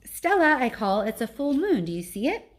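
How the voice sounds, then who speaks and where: A woman voicing a thoughtful adult male gorilla in a storybook, polite, earnest and measured in his speech. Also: high voice, sing-song, pitched above the performer's own voice. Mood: concerned.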